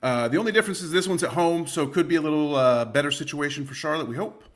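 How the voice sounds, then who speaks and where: Male podcast host, relaxed and chatty. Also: on the high side, fast. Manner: casual, lots of uh fillers, jokey. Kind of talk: casual podcast hosting.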